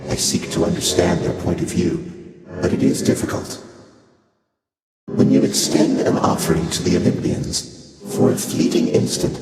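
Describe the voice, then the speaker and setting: A man voicing an ancient, detached, curious primordial god of the void in a video game, speaking slowly and formally in archaic, measured phrases. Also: low voice, monotone, natural voice. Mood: detached curiosity. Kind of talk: video game character voice lines. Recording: cavernous reverb, loud music behind.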